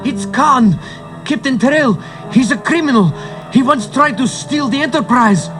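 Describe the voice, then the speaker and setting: An actor playing an earnest, high-strung male starship officer in an audio storybook adventure. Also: moderate pace. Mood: alarmed. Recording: cassette transfer with music underneath.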